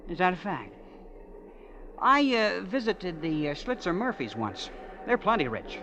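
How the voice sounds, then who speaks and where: A man's voice: sits high, very animated, a little slow, sharp tone, a little hiss or hum, heavily acted. An actor playing a naive, eager young man from Missouri who stammers and talks in a folksy way, in 1940s radio acting. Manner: stammering, wide-eyed, folksy. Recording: dull old radio recording with music.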